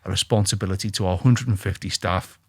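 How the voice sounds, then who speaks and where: A man's voice: sits low, steady tone, fast talker, slightly rough, dry recording. A man hosting a podcast interview, an eager interviewer who encourages his guest. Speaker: podcast host, CEO. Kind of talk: interview, casual chat.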